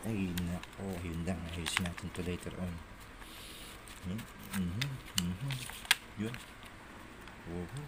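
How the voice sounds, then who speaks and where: Low-voiced Manila man over noisy audio. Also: takes it slowly, pauses often. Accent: Filipino, Manila Tagalog. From Philippines. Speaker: cassette collector showing a collection. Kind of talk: casual show-and-tell chat, off the cuff. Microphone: phone held in hand while filming. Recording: noisy handheld phone camera audio.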